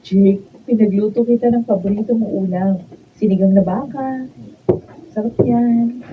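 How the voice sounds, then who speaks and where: A woman's voice: mid-range voice, steady tone, normal speed, close to an everyday voice. A woman acting a jealous, pleading wife in a Filipino radio drama, with a Filipino accent. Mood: coaxing. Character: jealous, pleading wife begging for her husband's love. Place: radio drama recording session. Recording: tinny, noisy band-limited raw studio video.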